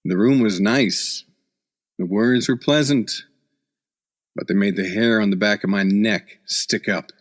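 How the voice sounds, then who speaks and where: A man's voice: fairly low pitch, measured pace. A man playing a hard-boiled beat reporter in a noir radio play, sardonic and slangy with a tough-guy manner. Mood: wary narrating. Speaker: actor in an audio drama.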